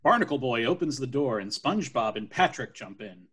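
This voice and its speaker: Male narrator, mid-pitched and slightly rough, reading script directions. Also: animated, brisk, a bit bright.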